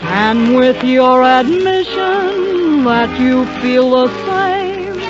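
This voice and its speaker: A woman's voice: high voice, lively intonation, very slow. A woman singing a sentimental 1940s ballad on an old radio broadcast, with music behind her. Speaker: singer. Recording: muffled 1940s radio recording, loud music. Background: musical accompaniment.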